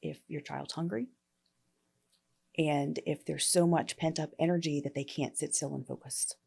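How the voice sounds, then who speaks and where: A woman epidemiologist speaking as an interview guest, explaining firmly and clearly. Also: low voice, steady tone, normal speed, darkish tone. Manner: firm, explanatory. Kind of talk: conversational interview.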